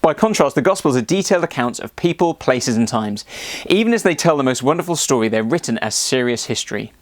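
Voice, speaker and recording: A man's voice: mid-range voice, lively intonation, fast, no echo. A man presenting a scripted explanatory narration.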